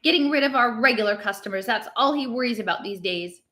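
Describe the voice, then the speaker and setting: Reader as a sharp-tongued, forceful innkeeper's wife. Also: female voice, on the high side, lively intonation, fast, voice pushed up. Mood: brusque. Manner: brusque, snapping. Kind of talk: expressive storybook read-aloud.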